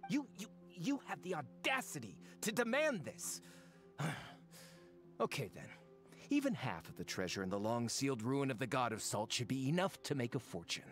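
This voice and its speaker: Pompous, greedy treasure hunter, animated. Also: male voice, medium pitch, moderate pace. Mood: indignant, scornful. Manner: pompous, indignant, theatrical. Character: greedy, pompous, blustering male treasure-hunting expedition sponsor. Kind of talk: anime game voice acting. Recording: music behind the voice.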